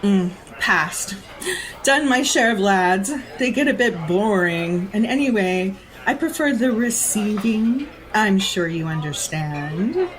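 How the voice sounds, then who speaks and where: A haughty, predatory society lady in a radio play. She drawls slowly and teasingly, her pitch swinging widely with theatrical relish. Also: expressive, poor audio. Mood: teasing, menacing. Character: predatory, jaded society woman who borrows bodies. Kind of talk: audio drama acting.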